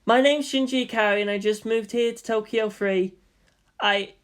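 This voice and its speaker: A woman's voice: fairly high pitch, steady tone, normal speed, clean tone, voice pushed up, close to an everyday voice. Woman reading as a serious, reserved teenage boy. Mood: polite, reserved. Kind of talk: fan fiction character reading.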